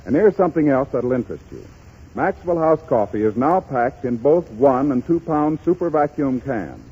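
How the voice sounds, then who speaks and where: A man's voice: medium pitch, animated, normal speed, slightly rough. A man working as an announcer, reading scripted advertising in a 1930s radio style. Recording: muffled 1930s radio recording.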